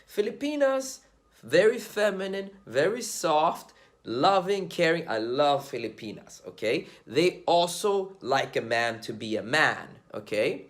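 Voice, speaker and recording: Animated blunt man ranting to camera. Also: on the high side, measured pace. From Sweden. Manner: blunt, animated, slangy, swears. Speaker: dating commentator vlogger. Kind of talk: casual monologue to camera.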